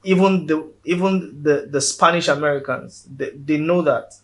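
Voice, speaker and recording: A man's voice: fairly high pitch, moderate pace, fairly bright tone. A man with a Nigerian accent, a guest in a casual interview, speaking earnestly and often repeating words as he builds his point.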